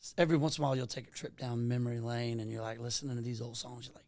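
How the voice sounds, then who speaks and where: A man's voice: medium pitch, little pitch movement, very fast, gravelly, a little mellow, no echo. A man from Louisiana with a Southern US accent, chatting casually and ramblingly as a musician guest, often tossing in "man". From Louisiana, USA. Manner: folksy, rambling, peppers talk with "man". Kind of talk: casual podcast chat.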